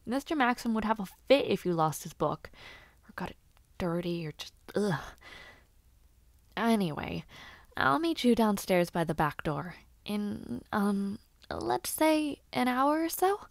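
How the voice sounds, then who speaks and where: An eager young noblewoman with knightly ambitions, acted by a woman for an intimate audio roleplay. Her voice sits at a medium pitch in a very dry recording. She speaks in a formal, old-fashioned way, playfully bossy, with small hesitations and sighs. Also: sing-song, a little slow, creaky, no echo.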